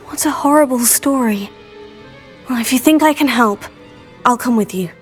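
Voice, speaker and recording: An actress playing a polite, willing teenage student, the hero of a video game, speaking earnestly. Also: female voice, on the high side, a little slow, soft background music, close to an everyday voice. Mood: polite, curious. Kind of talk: video game character acting.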